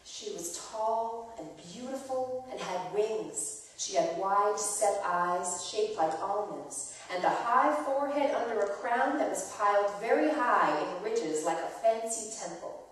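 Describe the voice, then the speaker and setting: A woman acts a gentle aunt from biblical times telling an old myth to young children. Her delivery is deliberate and soothing, with animated pitch. The sound is distant and washed in heavy church echo. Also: lightly acted. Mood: gentle storytelling. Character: gentle storytelling aunt Tamar from the Hebrew Bible. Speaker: narrator in a staged myth reading. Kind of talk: dramatic storytelling to children. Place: church performance space. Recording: echoey church hall, far from mic.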